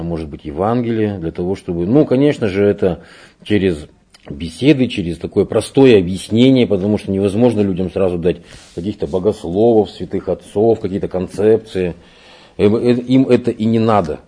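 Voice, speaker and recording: A man working as a parish catechist, answering interview questions in an unhurried, explanatory way with frequent hesitations. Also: on the low side, warm tone, breathy edge. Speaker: church catechist. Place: parish room.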